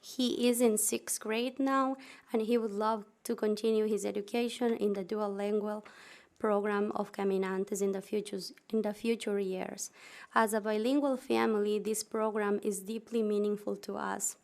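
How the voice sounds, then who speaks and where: Flat, gravelly woman. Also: mid-range voice, moderate pace. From Ecuador. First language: Spanish. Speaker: parent giving public comment. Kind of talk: reading a prepared letter aloud. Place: public meeting room.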